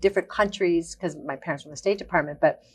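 Woman, about sixty, studio interview guest; low voice, long thoughtful sentences, frequent ums. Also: fast, slightly rough. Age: around 60. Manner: reflective, talks in long searching sentences with many ums. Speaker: guest, activist. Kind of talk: life-story interview. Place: TV studio set.

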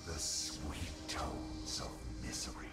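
An actor playing a sinister, gloating undead monster from a video game, slowly savoring each cruel word. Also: male voice, very deep voice, vocal fry, over loud music, heavily acted. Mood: gloating menace. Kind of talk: video game character voice lines.

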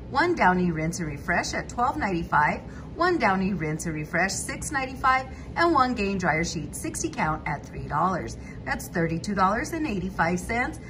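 Woman listing coupon deals, lively pitch. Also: background noise. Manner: methodical, lists prices and coupons step by step. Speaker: couponing channel host. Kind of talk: voice-over walkthrough of coupon deals.

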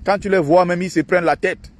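Husky man ranting outdoors by a lake. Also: steady tone, no room sound. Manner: indignant, ranting and mocking, quick shifts in pitch. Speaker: online activist and channel host. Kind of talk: outdoor vlog monologue.

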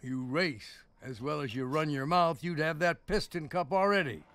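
A man with an American accent voicing a stern, gruff old car who mentors a racer in an animated game. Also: fairly high pitch, normal speed. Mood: gruff, instructive. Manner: gruff, dry.

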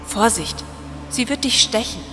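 A woman's voice: on the high side, animated, measured pace, a bit bright, big-room sound, faint music behind. A woman playing a skeptical, reserved programmer in an audio drama, speaking matter-of-factly.

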